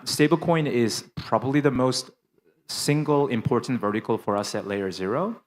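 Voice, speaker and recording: A man introducing himself and his company on a conference panel, speaking fluently with frequent ums and uhs. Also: lowish voice. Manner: fluent business talk with frequent fillers.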